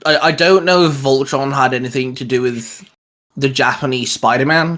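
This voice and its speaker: A man's voice: mid-range voice, a bit bright. A man hosting a YouTube show, chatting casually about geeky favourites. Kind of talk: casual chat about cartoons.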